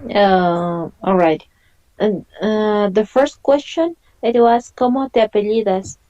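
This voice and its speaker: A woman's voice: medium pitch, takes it slowly, warm tone, lo-fi sound. A woman, teaching an online language lesson and patiently explaining and repeating questions. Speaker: Spanish teacher.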